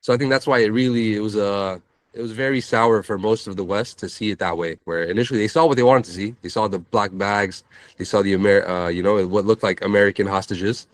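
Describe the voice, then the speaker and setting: A man's voice: lowish voice, fairly even intonation, quick pace, dry recording. A man answering as an interview guest, casual and reflective, often checking that the listener follows. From San Francisco Bay Area, California. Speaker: interview guest, social media creator.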